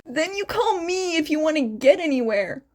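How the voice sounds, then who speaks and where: A woman voicing an excitable, worried, caring family man in a story, with emphatic delivery. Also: high voice, moderate pace, pitched above the performer's own voice. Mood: exasperated worry.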